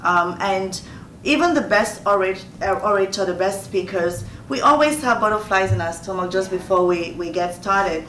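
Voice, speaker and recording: A woman's voice: mid-range voice, moderate pace, a bit bright. Woman, 50s, Nigerian accent, earnest motivational talk, echoey room, off-mic, light background noise. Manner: earnest, repeats phrases for emphasis. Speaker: host giving advice. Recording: roomy distant mic, faint noise.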